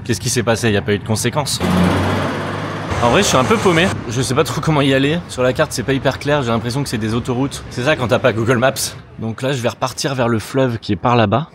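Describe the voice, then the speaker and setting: A man's voice: mid-range voice, fast talker, noisy background. Chatty French vlogger man outdoors. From France. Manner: chatty, self-mocking, swears casually. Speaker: travel vlogger. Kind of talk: casual vlog talk to camera. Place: outdoors by roads and a river in a city.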